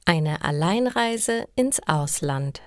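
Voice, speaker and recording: A woman reading simple scripted stories for language learners in a measured, clearly enunciated way. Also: deep voice, expressive, no room sound, clean studio sound. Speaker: language-learning story narrator.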